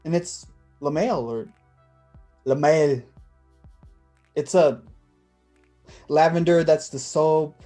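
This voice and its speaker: Man acting awkward, soft-toned, nervous young guy. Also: a little high, soft background music. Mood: flustered. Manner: halting, fumbling, self-conscious. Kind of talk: staged play reading.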